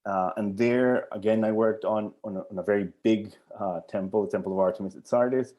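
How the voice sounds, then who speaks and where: Low-voiced hesitant man from Colombia. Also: fairly even intonation, a little fast, dark tone, highs cut off. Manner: hesitant, many restarts and repeated words. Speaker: archaeology professor, guest. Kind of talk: interview answers.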